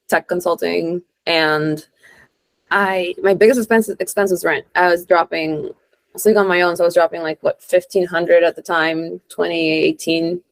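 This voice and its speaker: A young adult woman from Honduras chatting casually as an interview guest, informal and expressive, peppering her stories with "like". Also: lowish voice, vocal fry, dry recording. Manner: informal and expressive, peppered with "like", acts out quoted lines. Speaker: guest, real estate investor and entrepreneur.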